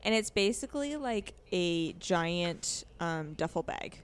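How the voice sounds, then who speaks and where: A woman's voice: lowish voice, lively intonation, slow pace, smooth. A woman co-hosting a podcast, casually discussing a video game in an informal, hesitant way as she thinks aloud. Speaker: podcast co-host.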